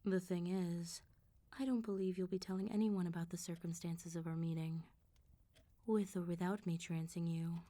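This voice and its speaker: A vampire mob boss played by a woman speaks in a low, dark, mellow voice, soft and close as in ASMR. She is controlled and smooth-talking. Her teasing calm carries a quiet threat. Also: normal speed, close to an everyday voice. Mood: calm, menacing.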